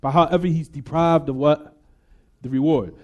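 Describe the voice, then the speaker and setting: A man's voice: fairly high pitch, steady tone, moderate pace, lo-fi sound. A male religious teacher explaining a text to a class in casual, conversational English mixed with Arabic terms, often asking the class "what?" and checking that everyone follows. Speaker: Islamic teacher.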